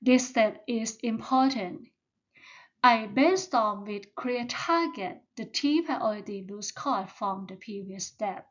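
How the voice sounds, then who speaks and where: Slow, careful woman presenting. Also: fairly high pitch, highs cut off. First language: Thai. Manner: slow, careful, step-by-step delivery. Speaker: student presenter.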